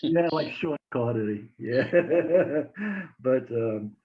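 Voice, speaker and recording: A man's voice: medium pitch, expressive, slow pace, muffled recording. A man interviewing a guest over a call, talking hesitantly with many fillers and restarts. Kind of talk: casual interview chat.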